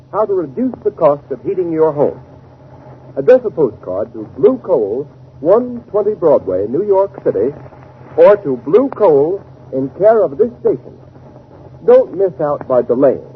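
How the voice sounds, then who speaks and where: A man working as a 1930s radio announcer, reading scripted sponsor copy with an emphatic salesman's delivery. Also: a little high. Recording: noisy, narrow-band 1930s radio broadcast.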